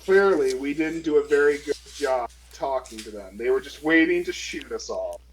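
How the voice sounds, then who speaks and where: A man with a somewhat high voice talks casually as a tabletop role-player. He moves between table chat and narrating his character, with faint noise in the background. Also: lively intonation, normal speed, low-quality recording.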